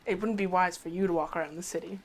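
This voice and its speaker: A woman's voice: on the low side, fairly even intonation, fast, a little hiss or hum. A woman chatting casually as a player in a tabletop fantasy game.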